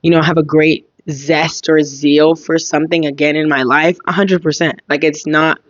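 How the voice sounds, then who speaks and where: A young woman hosting a casual solo podcast, thinking aloud in a reflective way, her voice slightly hoarse. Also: low voice, little pitch movement, normal speed, dry recording, highs cut off. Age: young adult. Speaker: podcast host.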